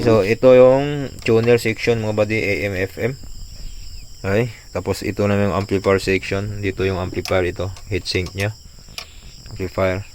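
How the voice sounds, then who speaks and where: A man's voice: sits low, noisy background. A man casually explaining a hands-on repair, informally tossing in short tag questions, like a tutorial vlogger.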